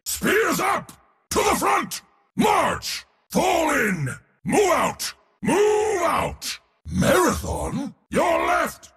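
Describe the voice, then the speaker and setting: An actor voicing a proud, pompous god of war for a video game, with a theatrical, commanding military delivery. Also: male voice, very high-pitched, big pitch swings, extremely slow, a bit bright, raised voice, long reverb tail, full character voice. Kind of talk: video game hero voice lines.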